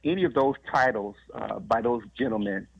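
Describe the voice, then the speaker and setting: Male American guest author, creaky mid-pitched voice, conversational, slightly muffled lo-fi sound. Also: a little fast. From Chicago, United States. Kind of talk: conversational interview answers. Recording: dull, lo-fi band-limited sound.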